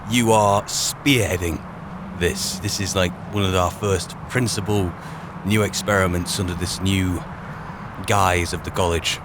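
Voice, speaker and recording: A low-pitched voice of a man roleplaying a boastful, drink-loving archmage in a fantasy game. He talks in wry, colloquial asides, half to himself. Game ambience is clearly audible behind him. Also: fairly even intonation, unhurried, background noise. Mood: encouraging. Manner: sardonic, muttering asides, British colloquialisms. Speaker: roleplaying gamer narrating in character as an adventurer mage. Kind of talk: in-character game roleplay commentary.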